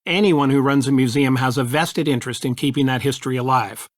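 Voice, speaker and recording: Actor as a calm, affectionate American dad. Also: male voice, mid-range voice, fairly quick, no room sound, studio quality, natural voice. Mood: thoughtful, calm. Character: warm, supportive middle-aged father, level-headed advisor. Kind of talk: video game voice acting.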